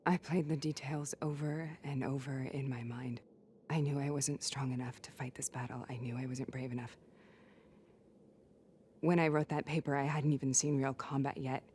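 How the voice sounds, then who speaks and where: Female game soldier, low-pitched, haunted and raw, earnest delivery over faint noise. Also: steady tone, moderate pace, darkish tone. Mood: haunted, reflective. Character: troubled female soldier, haunted, doubting her sanity. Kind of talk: dramatic video game acting.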